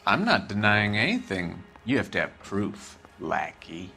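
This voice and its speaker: A deranged ex-Marine drifter in a crime game. His voice is low, cold and deliberate, full of contempt, over faint music. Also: big pitch swings, vocal fry, bright, forceful tone, full character voice. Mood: defiant, evasive. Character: bitter, defiant deranged ex-Marine vagrant murder suspect. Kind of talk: dramatic game acting.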